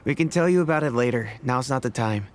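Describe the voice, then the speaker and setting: A man's voice: medium pitch, lively intonation, fast, a little hiss or hum, close to an everyday voice. A man playing a level-headed, modest mercenary in an anime game, talking casually and sensibly. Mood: good-humoured, practical. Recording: faint music behind the voice.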